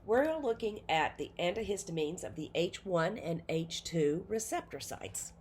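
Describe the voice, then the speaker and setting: A woman's voice: lowish voice, expressive, measured pace, darkish tone, slight background noise. A woman with a cold giving a nursing pharmacology lecture in an informal way, with many fillers and self-corrections. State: has a cold. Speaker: nursing instructor. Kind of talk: recorded classroom lecture.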